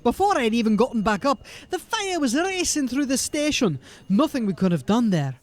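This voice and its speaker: A man's voice: extremely high pitch, sing-song, normal speed. A man playing a folksy, rambling, deferential corporal of a fantasy city watch in an audio drama. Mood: sombre, regretful. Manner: folksy, rambling, eager to please.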